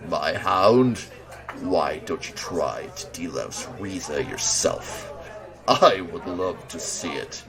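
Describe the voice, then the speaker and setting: Male actor, hulking werewolf boss, very slow drawl, lively swings, dry teasing, audio drama. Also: sits low, creaky, heavily acted. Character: huge gruff male werewolf shifter boss, dryly teasing.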